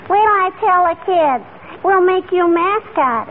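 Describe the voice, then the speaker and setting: A woman's voice: extremely high pitch, animated, a little slow. A woman playing a cheeky, pestering little girl in an old radio comedy, repeating her questions insistently in childish slang. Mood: sweet, flattering. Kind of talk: 1940s radio comedy acting. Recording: tinny, noisy old radio recording.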